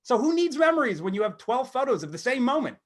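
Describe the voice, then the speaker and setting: A middle-aged American man co-hosting a podcast, talking in an animated, rambling, self-deprecating way, between comic monologue and casual chat. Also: very high voice, fast talker. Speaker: podcast co-host.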